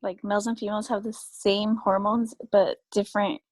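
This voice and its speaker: A woman's voice: medium pitch, level tone, moderate pace, dry recording. Casual student woman over video call. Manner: casual, uses fillers like "like". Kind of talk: class discussion.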